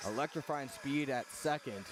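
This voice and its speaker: A man's voice: mid-range voice, normal speed. A man doing live radio play-by-play commentary of a baseball game outdoors.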